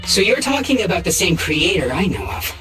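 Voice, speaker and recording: An actress voicing a polite, apologetic former fighter in a fantasy game, speaking in a courteous, measured way. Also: female voice, fairly low pitch, sing-song, music in the background. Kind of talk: English video game voice acting. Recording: roomy echo on the voice.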